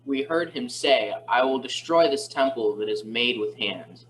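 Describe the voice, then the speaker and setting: A man's voice: mid-range voice, lively intonation, measured pace, fairly bright tone, low-quality recording. A man playing an accusing witness in a hostile crowd, in an amateur scripture reading. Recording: faint background noise.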